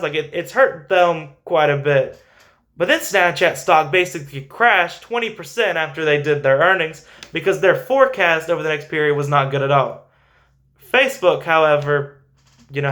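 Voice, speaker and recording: A man's voice: fairly high pitch, sharp tone. A man hosting a finance show, giving informal, emphatic stock commentary and repeating phrases for stress.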